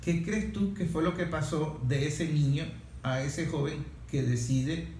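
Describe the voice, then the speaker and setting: A man's voice: a little high, a little slow, a little mellow. A man interviewing someone in an informal, conversational way, repeating phrases to press his questions. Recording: echoey, distant mic, faint noise.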